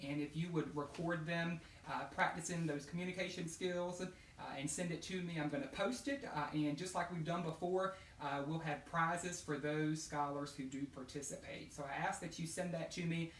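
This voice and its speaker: A man's voice: on the high side, fairly even intonation, moderate pace, hardly any pauses, slight background noise. A man speaking as an educator to children, encouraging them and asking questions that he answers himself. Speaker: educator hosting children's read-alouds. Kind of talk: read-aloud and talk addressed to children. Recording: roomy echo, distant microphone.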